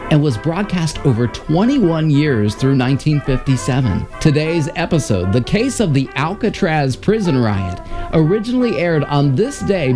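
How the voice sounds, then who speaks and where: A man hosting a show, reading a scripted introduction and promotion. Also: fairly high pitch. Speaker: show host.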